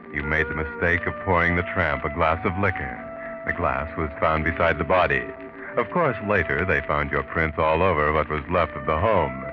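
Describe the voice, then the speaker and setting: Male actor as ominous, taunting American storyteller of murder. Deep voice, deliberate 1940s radio delivery over prominent music. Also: natural voice. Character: sinister, mocking, all-knowing male narrator of murder tales. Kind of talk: 1940s radio mystery narration. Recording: muffled 1940s radio recording. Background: dramatic music.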